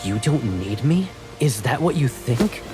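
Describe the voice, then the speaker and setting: An actor playing a possessive, protective young military colonel in a video game, speaking with controlled, intimate intensity. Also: male voice, mid-range voice, sing-song, a little slow, music in the background. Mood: possessive, intense. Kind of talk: dramatic video game cutscene acting.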